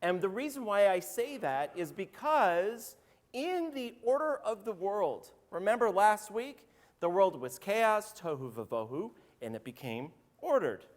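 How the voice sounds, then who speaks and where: A man, a rabbi with a slightly hoarse voice, giving a chatty, joking sermon and calling on congregants by name. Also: high voice, very animated, unhurried. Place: synagogue sanctuary. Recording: roomy hall reverb.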